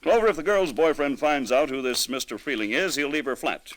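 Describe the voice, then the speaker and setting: An actor playing a stern, skeptical police captain with a blunt manner in an old radio drama. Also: male voice, fairly high pitch, animated, a little fast, fairly bright tone, low-quality recording. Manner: blunt, disapproving. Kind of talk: 1940s-50s radio drama acting.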